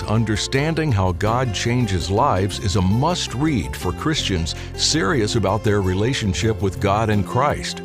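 Low-voiced male radio announcer over music. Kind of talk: scripted promo reading.

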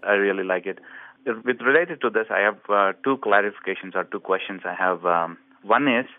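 A man's voice: fairly low pitch, normal speed, no echo. A man calling in to a radio show, asking his questions in a hesitant, polite way. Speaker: caller. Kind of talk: phone-in question. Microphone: telephone. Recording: tinny phone line.

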